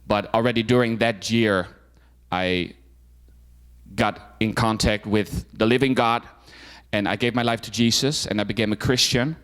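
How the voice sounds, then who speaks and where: A man's voice: fairly low pitch, steady tone, normal speed, a bit bright, clean tone. A young adult man from the Netherlands, telling his personal story as a guest speaker with many fillers and restarts. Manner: informal, frequent fillers and restarts. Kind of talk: personal talk to a club audience.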